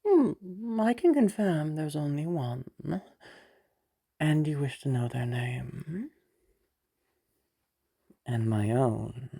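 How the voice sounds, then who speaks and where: A woman playing a sly, teasing female demon of lust in an audio roleplay, bargaining patiently in a breathy, creaky voice with halting pauses and whispers. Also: deep voice, lively intonation, dark tone, exaggerated voice. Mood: sly, coaxing.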